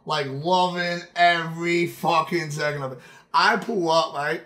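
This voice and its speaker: High-pitched man telling stories on stream. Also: measured pace. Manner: animated, emphatic, repeats phrases for effect. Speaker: game streamer. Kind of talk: casual, profane storytelling on a livestream. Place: indoor room at a streaming setup.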